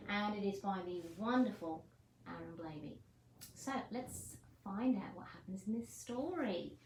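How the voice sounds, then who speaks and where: Children's story presenter: woman, encouraging, unhurried and melodic, in a roomy space. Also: mid-range voice, expressive, darkish tone. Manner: encouraging, asks listeners questions. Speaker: teacher reading to children. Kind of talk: children's story time and craft talk. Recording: echoey room, faint noise.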